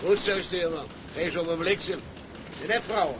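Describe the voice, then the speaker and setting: A man's voice: high voice, normal speed, lightly acted. Sardonic seaman played by a man, noisy recording. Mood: amused, goading. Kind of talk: Dutch radio drama acting. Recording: noisy track with watery ambience.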